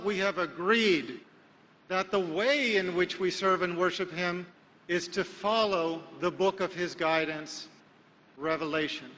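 A man raised in America delivers a sermon lecture with a high, bright voice. He talks emphatically, pausing to ask rhetorical questions, and his words carry a long reverb as if spoken in a large hall. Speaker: Islamic preacher.

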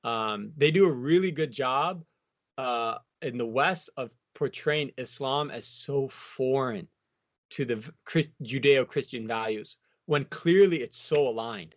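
A man's voice: medium pitch, unhurried, dry recording. A man talking as an interview guest, in a loose, rambling way full of "you know" and "like". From United States. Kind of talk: casual reflective interview talk. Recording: dull lo-fi dry sound.

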